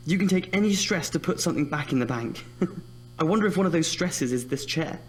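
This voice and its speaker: An actor playing an anxious young British student in an audio drama, nervously talking himself through his fear of the dark. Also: fairly high pitch, animated, a little fast, slight background noise, lightly acted. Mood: uneasy, wry. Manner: jittery, muttering self-talk with sighs. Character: anxious student afraid of the dark, self-scolding.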